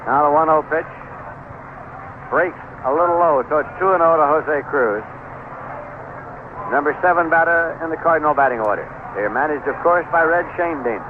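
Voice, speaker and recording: Through heavy noise and a thin, narrow radio band comes a male baseball announcer. He has a slightly high voice and calls the game in measured, short descriptive phrases, in the manner of 1970s sports radio. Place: ballpark broadcast booth. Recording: noisy, narrow-band old AM radio broadcast.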